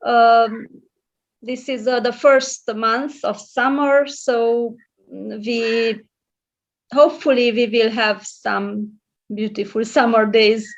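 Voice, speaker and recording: A woman's voice: on the high side, fairly even intonation, slow. A middle-aged woman from Romania, a librarian and English tutor, speaking as a guest in a casual video-call interview, hesitant with many fillers and restarts. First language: Hungarian. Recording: muffled lo-fi audio.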